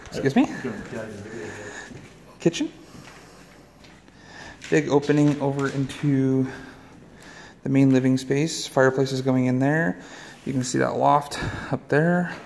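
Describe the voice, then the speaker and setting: Gravelly man narrating a house walkthrough. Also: medium pitch, steady tone, unhurried, long pauses, a little mellow, a little hiss or hum. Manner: matter-of-fact, pointing out rooms as he walks. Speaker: house tour guide. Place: large unfinished house, indoors. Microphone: handheld phone camera.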